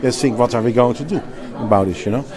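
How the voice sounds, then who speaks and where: A man's voice: mid-range voice, fast. Dutch-accented man interviewed amid a crowd. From Netherlands. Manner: animated, emphatic, restarts often, laughs easily. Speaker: healthcare company executive and clinician.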